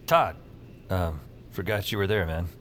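A man's voice: sits low, measured pace, a bit bright, a little hiss or hum. An actor playing a weary, wry male emergency call-taker who narrates his troubles in a casual way in an audio drama. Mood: wry, downbeat. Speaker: lead character and narrator. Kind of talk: noir-like first-person audio drama narration and dialogue.

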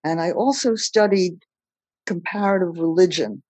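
An older woman from Belgium, recounting her life story as a podcast guest in a measured, plain-spoken way. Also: on the low side, darkish tone, husky, dry recording. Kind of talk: personal storytelling in a podcast interview.

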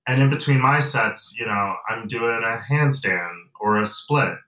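Young guy, bright voice, kinda far off in an echoey room, lots of ums. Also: mid-range voice, a little slow. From United States and Nigeria. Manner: reflective, hesitant, many fillers. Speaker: guest. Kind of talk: casual interview. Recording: roomy and off-mic.

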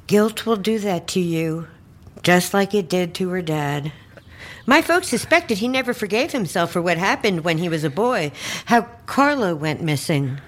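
An actress playing a sly, folksy older great-aunt in an audio drama, coaxing and condescending with sweet endearments. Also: female voice, fairly low pitch, lively intonation, normal speed. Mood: ominous, knowing.

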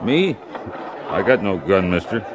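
An actor playing a simple-minded young man who poisoned someone and brags about his cunning, in halting, childlike speech. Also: deep voice, big pitch swings, a crowd in the background, noisy background. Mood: wary. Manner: slow, halting, childlike boasting. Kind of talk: 1950s radio drama acting. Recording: old radio broadcast recording.

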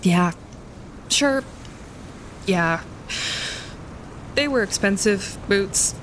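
A woman playing a practical wildlife photographer on a winter camping trip in a naturalistic audio drama, speaking in a hushed, matter-of-fact, low-key way. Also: expressive, drawn-out words. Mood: subdued, practical. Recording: clear outdoor ambience noise behind.

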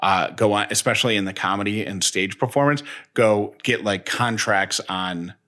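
Bright, forceful low-voiced man, casual podcast host. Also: moderate pace.

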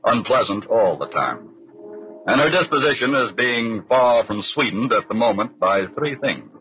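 An actor serving as a wry crime-story narrator with an American accent and dramatic, measured 1940s radio delivery. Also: male voice, mid-range voice, lively intonation, lightly acted. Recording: dull vintage radio, faint music.

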